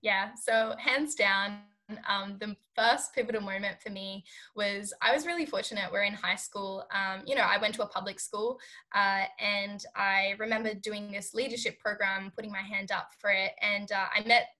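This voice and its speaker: A woman in her early twenties talks through her startup in a casual interview. Her voice is bright and clear, and she rambles with plenty of fillers as she thinks aloud. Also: mid-range voice, fairly even intonation, normal speed. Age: 20s. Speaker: startup founder guest.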